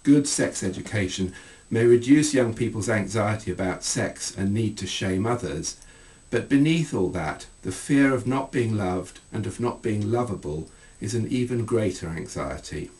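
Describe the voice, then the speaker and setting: A middle-aged man reading aloud from his own book as an author, in measured, deliberate phrases. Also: on the low side, lively intonation, a little mellow, far from the mic, rough recording. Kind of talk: scripted literary reading.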